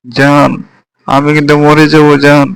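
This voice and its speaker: A man with a gravelly voice doing melodramatic amateur cartoon voice acting and storytelling. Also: on the high side, monotone, normal speed, fairly bright tone, low-quality recording.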